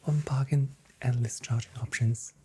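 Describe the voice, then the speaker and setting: A soft-spoken, whispering ASMR performer playing a shop assistant with unhurried, gently playful sales patter, close to the microphone. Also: mid-range voice, steady tone, a little hiss or hum.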